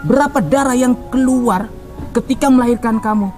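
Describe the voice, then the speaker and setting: Man playing a stern, raised-pitch noodle seller. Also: normal speed, a little mellow, faint music behind. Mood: scolding questioning. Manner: insistent, scolding. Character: stern scolding noodle seller, lecturing a runaway child. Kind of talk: puppet storytelling for children.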